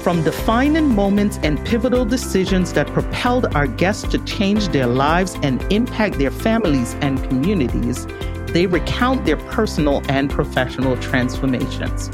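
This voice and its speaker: A woman's voice: on the low side, animated, normal speed. A woman reading a podcast intro in an upbeat, polished way. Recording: voice over guitar music.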